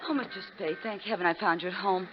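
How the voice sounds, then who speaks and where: An actress as a breathy, scheming 1940s femme fatale. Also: female voice, mid-range voice, steady tone, fast, lightly acted. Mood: frightened, urgent. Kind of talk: 1940s radio melodrama acting. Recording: muffled, very noisy 1940s radio recording.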